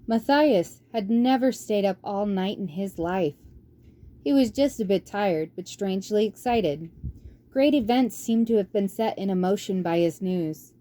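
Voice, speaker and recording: A woman's voice: mid-range voice, unhurried, warm tone. A woman reading a storybook aloud as a scripted narration. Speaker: amateur audiobook reader.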